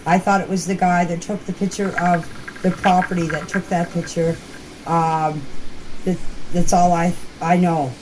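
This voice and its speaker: A woman's voice: on the low side, level tone, measured pace. An older woman informally recounting events in a hesitant, repetitive way, as if giving her own account in voice messages. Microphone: phone voice messages. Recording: noisy amateur voice message recording.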